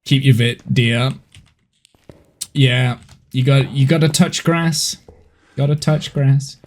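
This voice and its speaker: A man's voice: medium pitch, takes it slowly, a little mellow. A man in his thirties with a head cold, chatting casually and rambling as he streams a horror game from home. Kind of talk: casual gameplay chat on a live stream.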